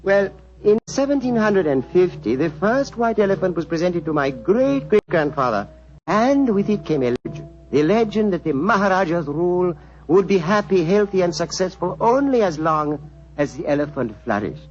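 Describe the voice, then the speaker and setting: Courtly Indian prince, highish voice, swooping intonation, formal, suave, muffled 1940s radio play. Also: male voice, very animated, normal speed. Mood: grave storytelling. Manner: formal, ornate, smoothly deferential.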